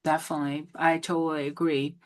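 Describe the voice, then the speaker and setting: A woman's voice: deep voice, flat delivery, fast. A woman hosting a casual interview on a video call, talking in restarts and tag questions. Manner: warm praise, many restarts and tag questions. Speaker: host.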